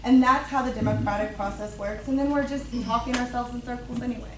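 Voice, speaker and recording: A woman's voice: fairly high pitch, steady tone, fast talker, a bit bright, a little hiss or hum. A woman giving public comment at a council meeting in a casual, conversational way. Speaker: citizen speaker. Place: council chamber. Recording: echoey room, far mic.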